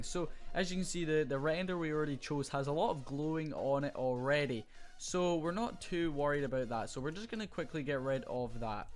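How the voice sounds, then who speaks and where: A young man with a slightly hoarse voice, casually talking through a screen-recorded graphics tutorial with many fillers. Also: fairly high pitch. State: slightly hoarse, losing his voice.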